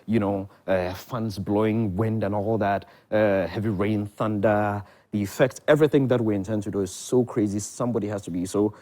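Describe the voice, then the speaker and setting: A man with a Ghanaian accent speaks in a fairly low-pitched voice. He talks conversationally, as a theatre producer and director answering questions in a TV interview. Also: fairly even intonation, normal speed, few pauses, warm tone. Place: television studio.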